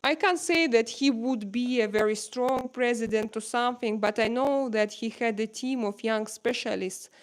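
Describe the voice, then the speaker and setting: A woman with a Russian accent and Russian as her first language, hosting a casual live-stream chat at home and pausing often to search for words. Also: fairly high pitch. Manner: halting, searching for words with many pauses.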